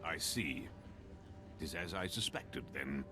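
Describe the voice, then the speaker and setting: A man's voice: rumbling low, expressive, a little slow. An actor playing a haughty, suspicious nobleman in a video game, speaking in a formal, wordy, pompous way. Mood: indignant, cold. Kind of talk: video game cutscene acting. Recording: game soundtrack music behind.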